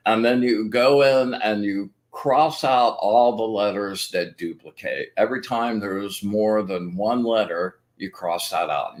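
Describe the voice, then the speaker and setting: A man hosting a casual spiritual talk, rambling and enthusiastic, full of fillers and restarts, walking his viewers through his methods step by step. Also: on the low side. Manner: explains step by step. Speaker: host.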